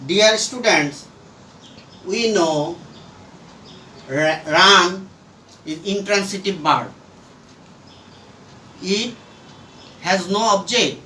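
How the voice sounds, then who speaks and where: Very slow, high-voiced male grammar teacher. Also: bright, forceful tone. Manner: deliberate, halting, emphatic phrase-by-phrase delivery. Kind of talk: instructional grammar lesson at a board. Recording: roomy echo, distant mic, faint noise.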